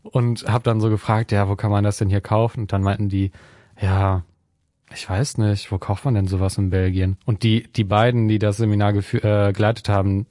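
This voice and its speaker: A man's voice: lowish voice, level tone, brisk, mellow, dry recording, studio quality. A young man chatting casually, telling anecdotes and laughing along. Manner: storytelling, laughs through anecdotes. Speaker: volunteer working in an archive. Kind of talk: casual chat.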